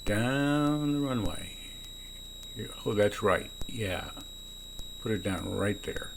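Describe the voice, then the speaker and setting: A man who is a pilot, giving calm explanatory commentary on his own flight from the cockpit of a small plane. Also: on the low side, takes it slowly, vocal fry. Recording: noisy small-plane cockpit, radio transmissions.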